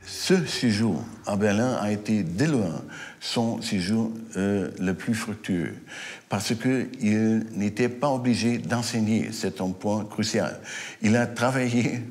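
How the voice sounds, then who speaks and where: A historian interviewed for a documentary, speaking deliberately and stressing key points. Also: male voice, lowish voice, a little mellow.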